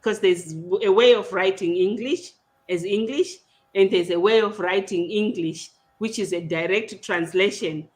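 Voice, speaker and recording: Slow-speaking Zimbabwean woman host. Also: mid-range voice. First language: Shona. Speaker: podcast host. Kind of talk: conversational hosting of an online panel. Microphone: video call.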